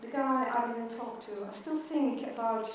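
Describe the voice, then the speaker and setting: A woman's voice: fairly high pitch, normal speed, a little hiss or hum. A middle-aged woman from Sweden with a Swedish accent, giving a conference keynote in English and stuttering with repeated words and syllables. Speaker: keynote speaker. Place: large conference hall. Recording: echoey hall, mic from far off.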